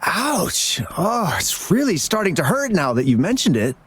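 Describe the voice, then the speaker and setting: A husky, hugely swooping voice for an excitable young game character. The delivery is animated and apologetic. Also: medium pitch, normal speed. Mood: pained. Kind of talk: video game voice acting.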